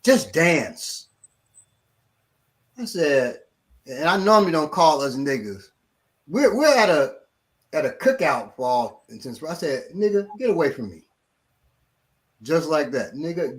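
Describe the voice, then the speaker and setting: Middle-aged male instructor, slightly high voice, lively casual storyteller who mimics others. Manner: animated, acts out quotes of others. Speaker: martial arts instructor.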